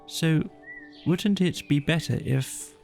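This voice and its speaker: A man voicing a gentle, slow-witted male teddy bear who muses aloud and sings little songs. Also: a little high, gravelly, soft background music. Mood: musing. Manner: dreamy, musing, sing-song.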